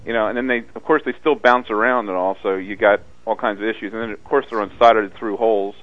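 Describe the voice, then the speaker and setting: A man's voice: fairly low pitch, fairly even intonation, fast. A man with a South Philadelphia accent chatting casually as a caller over a muffled phone line. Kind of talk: casual chat.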